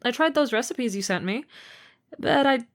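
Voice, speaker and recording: A woman's voice: fairly high pitch, lively intonation, normal speed. A woman playing a protective, teasing and affectionate older sister in a conversational, sincere audio roleplay. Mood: playful teasing. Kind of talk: amateur audio roleplay acting.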